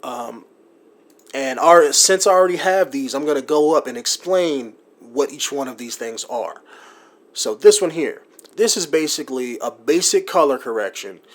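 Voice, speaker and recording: A man's voice: medium pitch, lively intonation, normal speed. A man talking through his video-editing setup in a casual, rambling way, with lots of ums, likes and you-knows. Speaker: gaming YouTuber explaining his editing setup. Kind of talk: casual screen-recorded tutorial. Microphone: USB desktop mic.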